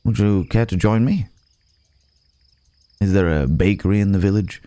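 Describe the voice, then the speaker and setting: A man playing a gentle, apologetic werewolf in an intimate ASMR roleplay, speaking in a hesitant, polite, old-fashioned way with soft chuckles. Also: fairly low pitch, normal speed, no room sound, natural voice. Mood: sheepish, apologetic.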